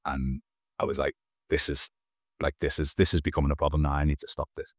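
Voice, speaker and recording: Man, very low voice, monotone, dry close sound, earnest storytelling, video call. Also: brisk, husky, no echo. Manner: earnest, frequent restarts and repeated words. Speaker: podcast guest. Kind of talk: candid personal storytelling. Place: small indoor room. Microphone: video call, wearing headphones.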